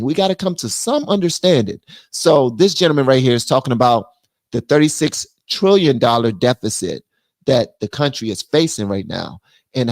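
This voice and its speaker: Blunt, animated man hosting a stream. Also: medium pitch, no echo. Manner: animated, drops into mock voices; blunt, slangy. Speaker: livestream host. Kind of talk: political commentary chat.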